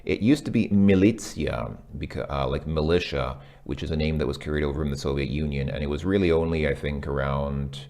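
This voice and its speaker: Super deep-voiced guy thinking out loud over a lesson, keeps saying um and correcting himself. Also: moderate pace, gravelly, hardly any pauses. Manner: hesitant, full of ums and self-corrections. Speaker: language learner reviewing a lesson. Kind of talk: casual thinking-aloud commentary.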